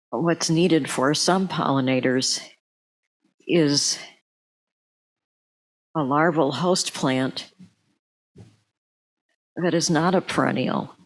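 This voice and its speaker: Female presenter for an educational talk, markedly slow delivery. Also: low-pitched, low-quality recording.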